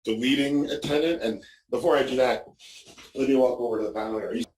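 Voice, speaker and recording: A man's voice: medium pitch, fast, darkish tone. A man giving an informal, explanatory product demo as a walkthrough, often punctuating his points with "okay". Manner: explanatory, frequently checking with "okay". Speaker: product demonstrator. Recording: roomy echo, distant microphone.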